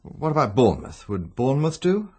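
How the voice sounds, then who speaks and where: A man's voice: medium pitch, very animated, fairly quick, mellow, husky. An actor playing an uneasy, reserved British husband in a radio drama, guarded and hesitant in his speech.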